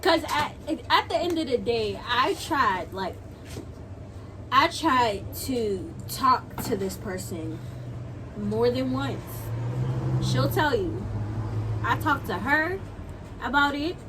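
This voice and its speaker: Slow, higher-voiced young woman, noisy recording. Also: animated. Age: young adult. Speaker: video creator, guest co-storyteller. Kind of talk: casual storytime chat. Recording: clearly noisy home video recording.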